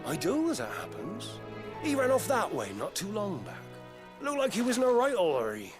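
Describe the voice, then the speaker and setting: A man playing a plain-spoken British townsman in a video game, brisk and colloquial. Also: high voice, wildly swooping pitch, over music. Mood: helpful, puzzled.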